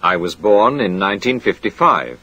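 Guy reading english drills, kinda husky, lively pitch, measured pace. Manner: clear, measured drill delivery. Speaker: language course reader.

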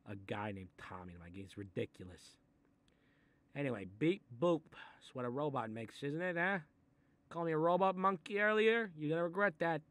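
A man playing a gruff, sarcastic Italian-American mob boss in a comic monologue, exasperated and muttering threats as he reads a picture book aloud. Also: on the high side, fairly bright tone, dry recording. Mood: agitated, nostalgic. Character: short-tempered, sarcastic Italian mob boss babysitting a kid. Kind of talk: comic improvised character monologue.

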